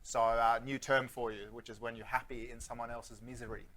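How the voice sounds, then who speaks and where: A man's voice: mid-range voice, little pitch movement, a little fast, bright, forceful tone. A man from Sweden with a Swedish-Australian accent, giving a conference keynote as a futurist, joking with the audience and asking them questions. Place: conference stage before a live audience.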